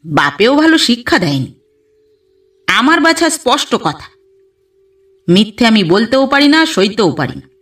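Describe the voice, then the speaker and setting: A woman narrator plays a stern older mother-in-law from a storybook, irritated and self-righteous. She scolds in curt, reproachful phrases at a slow, deliberate pace, heard slightly off the microphone. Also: on the high side, sing-song, fairly bright tone, far from the mic, soft background music, voice pushed up. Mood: irritated scolding.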